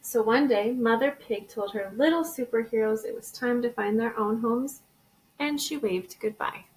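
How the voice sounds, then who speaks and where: Woman, teacher, high voice, expressive storybook narration for children. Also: moderate pace, a little hiss or hum, poor audio. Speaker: elementary school teacher.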